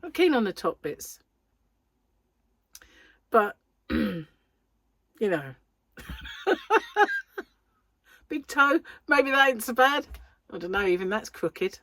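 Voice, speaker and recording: A woman's voice: mid-range voice, big pitch swings, slow pace, long pauses, fairly bright tone. A middle-aged woman with a British accent talks casually to her viewers, poking fun at herself and laughing often. Her voice swings up and down a lot, and a slight wheeze sits under her breathing. The sound is close and dry, with no room echo. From United Kingdom. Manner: chatty, self-deprecating, laughs often. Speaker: crochet channel host. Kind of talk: casual chat to camera.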